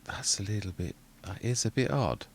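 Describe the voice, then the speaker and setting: A man's voice: fairly low pitch, expressive, normal speed, slight background noise. A man, rambling through casual commentary on a farming game and often correcting himself mid-sentence. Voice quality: quiet voice. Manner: rambling, self-correcting, muttering asides. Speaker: gaming channel host.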